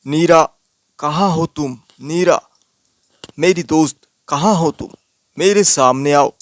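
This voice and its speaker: Man as slow-speaking earnest young villager Raju. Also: fairly high pitch, no echo, studio quality. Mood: pleading. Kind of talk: cartoon horror story dialogue.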